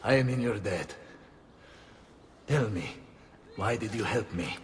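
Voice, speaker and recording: Slow, grave Italian nobleman. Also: lowish voice, animated, a little hiss or hum. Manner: measured, solemn, formal. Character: dignified Florentine nobleman, grave and grateful. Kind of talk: dramatic video game acting. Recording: music behind the voice.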